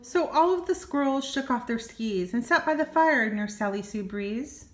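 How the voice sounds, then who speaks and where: A woman's voice: a little high, lively intonation, normal speed, a little hiss or hum. An older woman, a grandmother, reading a children's story expressively with small asides, in an echoey room. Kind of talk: children's storybook read-aloud.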